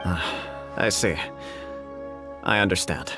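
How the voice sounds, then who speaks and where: A man playing a polite, composed government official in a video game, speaking in a formal, measured, courteous manner. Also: deep voice. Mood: courteous, accepting. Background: background music.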